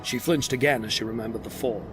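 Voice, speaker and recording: A male narrator reads a scripted audio drama in a dramatic style. His voice sits at a middle pitch, with music clearly playing behind it. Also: quick pace.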